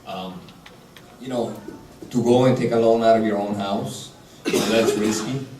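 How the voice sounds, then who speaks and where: An Italian-accented man speaks plainly on a business panel, his voice a little low. He is picked up from a distance, so it rings through a big echoey hall over faint noise. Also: fairly even intonation, normal speed. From Italy. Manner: direct, practical, with broken grammar. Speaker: executive chef on a panel. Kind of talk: panel discussion, advice. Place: large hall.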